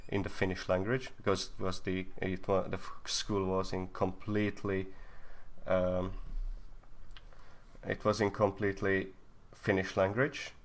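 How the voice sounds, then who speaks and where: Deep-voiced young man, native Hungarian speaker. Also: little pitch movement, unhurried. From Slovakia. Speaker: co-host. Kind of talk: casual live stream talk.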